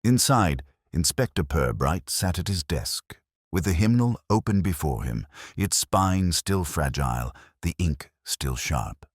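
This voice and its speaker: A man's voice: a bass voice, no room sound, studio quality. A man reading a scripted mystery story as its narrator. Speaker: audiobook-style narrator.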